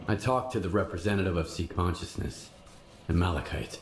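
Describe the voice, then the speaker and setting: A man's voice: deep voice, brisk, gravelly. A man acting a wary, weary mercenary in a video game cutscene, terse and guarded in his speech. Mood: guarded, questioning. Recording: roomy, echoing game audio.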